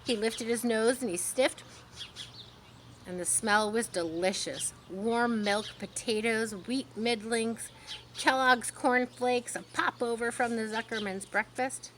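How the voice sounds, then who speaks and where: A woman reading a children's story in an expressive storytelling style, with informal asides. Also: on the high side, slow. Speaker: storybook reader. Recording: faint noise with birdsong behind. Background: birdsong.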